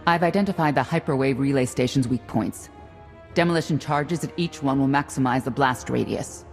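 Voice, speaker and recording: A man's voice: medium pitch, fairly quick, close to an everyday voice. A man voicing a cold, contemptuous saboteur in a video game, curt and disdainful. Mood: businesslike. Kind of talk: dramatic video game voice acting. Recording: reverberant, music behind.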